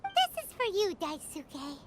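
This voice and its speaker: A woman voicing a tiny, childlike female fairy companion in a video game, cheeky, excitable and perky. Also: a little slow, slight background noise, exaggerated voice. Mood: friendly, sly. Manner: squeaky, perky, refers to herself in third person.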